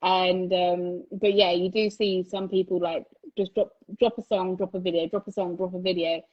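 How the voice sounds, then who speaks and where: A woman's voice: on the low side, level tone, moderate pace. A chatty, enthusiastic woman hosts a casual interview and laughs often between her words. Her speech wanders with plenty of fillers and restarts. The sound is dull and lo-fi. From United Kingdom. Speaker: interviewer, channel host. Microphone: phone recording.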